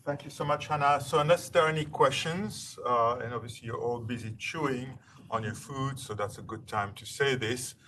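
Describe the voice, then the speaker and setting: A man hosting a university seminar, introducing a guest speaker formally and courteously in a halting, wordy way. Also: mid-range voice, bright, forceful tone. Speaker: seminar host. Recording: distant mic, faint room noise.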